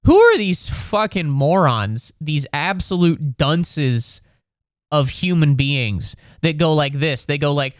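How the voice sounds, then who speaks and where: A man in his twenties, sick with a cold, hosting a comedy podcast from home in a profane, exasperated rant with sudden squeaky outbursts. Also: sing-song, slow pace, dry recording, studio quality. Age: 20s. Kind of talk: ranting comedic monologue. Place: home room.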